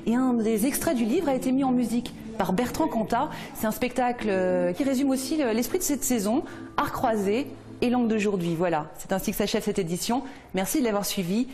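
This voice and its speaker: A woman, presenting a regional TV culture segment, lively, with some hesitant fillers. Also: on the high side, fairly quick.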